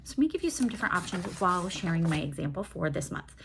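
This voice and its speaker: A woman's voice: lowish voice, very animated, fast, gravelly, noisy background. A woman chatting casually about books in an enthusiastic, digressive way, like a host reviewing her reading. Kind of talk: casual book review chat.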